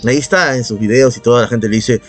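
A man's voice: medium pitch, quick pace. Peruvian man ranting casually over music. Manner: argumentative, sarcastic, swears casually. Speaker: podcast co-host. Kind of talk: casual opinionated podcast talk. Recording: background music under the voice.

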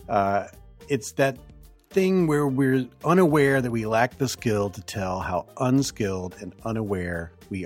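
A man hosting a podcast, talking alone in a hoarse voice as he gets over the flu, rambling and correcting himself as he goes. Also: fairly low pitch, a little mellow. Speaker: podcast host. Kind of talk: solo podcast monologue.

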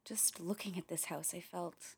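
A woman's voice: lowish voice, steady tone, normal speed, vocal fry, breathy edge, in a whisper, full character voice. A woman playing a candid, wry, uneasy young filmmaker who narrates a radio play in a confiding way, with tangents and swearing. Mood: uneasy, reflective. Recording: music behind the voice.

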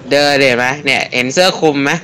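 A man's voice: mid-range voice, quick pace, pushed and bright, slight background noise, pitched below the performer's own voice, natural voice. A man playing a boastful Sun in an improvised comic fable, exclaiming and teasing as he issues challenges. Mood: cocky, teasing. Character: boastful male Sun challenging the Wind to a contest.

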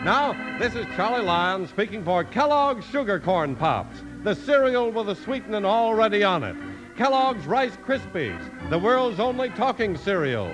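A man's voice: high voice, big pitch swings, moderate pace, low-quality recording. An American man announcing credits and sponsor messages in a polished, emphatic 1950s radio style. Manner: polished, emphatic sales delivery. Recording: old radio broadcast recording. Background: music.

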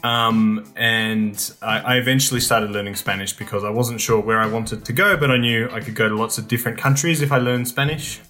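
A young man telling stories in a casual interview, relaxed and rambling, with lots of ums and restarts. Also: mid-range voice, fairly quick, hardly any pauses, faint music behind. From Australia. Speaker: guest.

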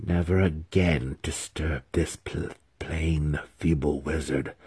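A man voicing an imperious, monstrous female demon handmaiden in a fantasy audiobook, snarling slowly with contempt. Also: rumbling low, steady tone, dark tone, husky, heavily acted. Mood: menacing. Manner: snarling, slow, drawn-out contemptuous delivery.

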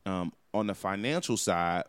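A man's voice: sits low, lively intonation, unhurried, smooth. A man hosting a podcast in casual chat, telling stories animatedly and swearing freely. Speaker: podcast host.

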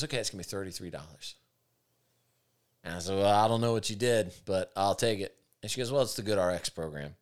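A man with a raspy voice, hosting a podcast with opinionated, emphatic casual talk. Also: lowish voice. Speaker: podcast host.

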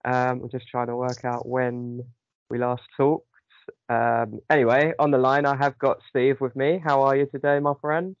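Guy with a sore throat, gravelly voice, rambly podcast chat full of ums, dull dry lo-fi sound. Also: medium pitch, flat delivery, normal speed, no room sound. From United Kingdom. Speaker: podcast host. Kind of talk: casual chat.

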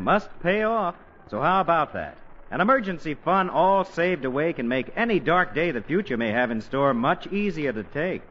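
A man's voice: a little high, fairly bright tone, a little hiss or hum. A man announcing in polished, folksy 1940s radio style, reading scripted copy on an old broadcast recording. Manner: folksy, polished sales patter. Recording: dull band-limited 1940s radio recording.

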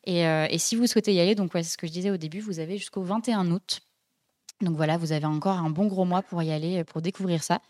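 A woman's voice: lowish voice, fairly even intonation, fast talker, darkish tone. A young woman hosting a casual podcast, talking chattily with many hesitations and fillers. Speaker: podcast host.

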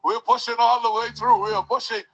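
A man's voice: extremely high pitch, fairly even intonation, fast, breathy edge, highs cut off. A man leading a church service, preaching fervently with call-and-response and repeated hallelujahs. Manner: repeats hallelujah, rises to shouts.

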